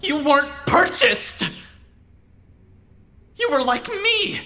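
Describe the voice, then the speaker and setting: A woman's voice: sits high, sing-song, takes it slowly, loud music behind the voice, heavily acted. A woman playing an intense young robot who was born human, pleading and menacing, with an emphatic, pressing way of talking in an audio drama. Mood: urgent, incredulous. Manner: emphatic, repetitive, emotionally pressing.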